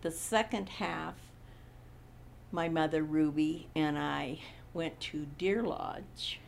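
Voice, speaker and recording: A woman in her eighties from Montana, recalling her childhood slowly in an oral history interview. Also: low-pitched, gravelly, a little hiss or hum. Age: 80s. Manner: slow, unhurried recollection with frequent pauses. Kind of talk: reminiscing in a recorded interview.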